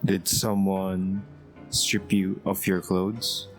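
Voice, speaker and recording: A man's voice: deep voice, flat delivery, extremely slow. A man hosting a gameplay video, commentating and reading the on-screen text aloud. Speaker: gaming video host. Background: game music.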